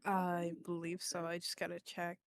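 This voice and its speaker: A woman's voice: on the low side, completely flat delivery, normal speed, creaky, no room sound. Casual woman, close dry sound. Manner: brief, informal replies. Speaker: player in a tabletop roleplaying game. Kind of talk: casual game chat.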